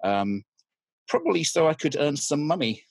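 A man's voice: a little high, sing-song, moderate pace, creaky, dry recording. A man, a physics teacher talking as a guest in a casual interview, hesitant and dry, with many ums. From Yorkshire, England. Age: 40s.

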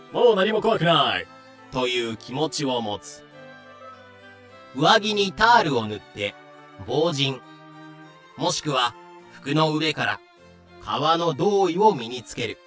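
Male narrator, slow delivery, bright forceful voice, dramatic scripted style, music behind. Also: medium pitch, sing-song.

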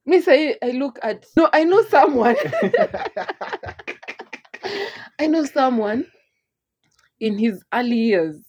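A Kenyan woman co-hosting a relaxed podcast. She talks in a lively, playful way, breaks into laughter often, and keeps her voice a little on the high side. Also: slow, long pauses, husky. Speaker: podcast co-host. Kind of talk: casual chat, code-switching.

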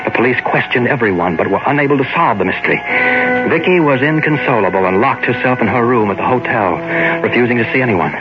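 A man's voice: medium pitch, fast talker, lightly acted. An actor playing an obsessive, guilt-ridden murderer who tells his story in a dramatic 1940s radio thriller style. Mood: grim, confessional. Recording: muffled old radio recording, loud music.

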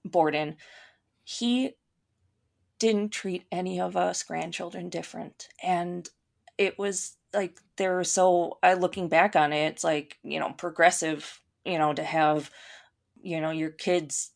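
Woman from Minnesota with a Minnesota accent, podcast guest. Lowish, gravelly voice, thoughtful and unhurried, lots of ums. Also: fairly even intonation. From Minnesota, USA. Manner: thoughtful, with many ums and restarts. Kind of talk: casual conversation.

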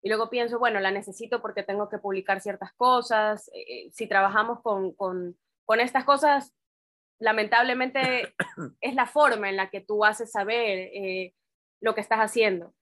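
A woman's voice: mid-range voice, moderate pace, a bit bright, no echo. A woman co-presenting an informal, reflective talk.

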